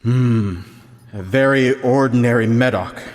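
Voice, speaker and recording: An actor playing a vain, haughty wine connoisseur in a gothic radio drama, speaking in a pompous, dismissive, theatrical way. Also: male voice, medium pitch, unhurried, darkish tone, natural voice. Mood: stubborn, impatient.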